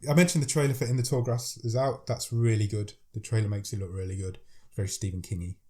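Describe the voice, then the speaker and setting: Fast-talking man with a cold. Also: lowish voice, steady tone, a little mellow. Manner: chatty, enthusiastic, reads out film blurbs. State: has a cold, deeper voice. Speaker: podcast co-host. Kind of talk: casual chat about films.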